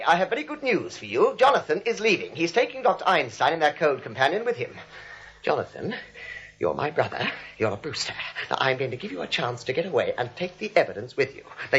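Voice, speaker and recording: An actor playing a flustered, exasperated, fast-thinking nephew in a 1940s radio comedy. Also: male voice, on the high side, very animated, a bit bright. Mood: firm.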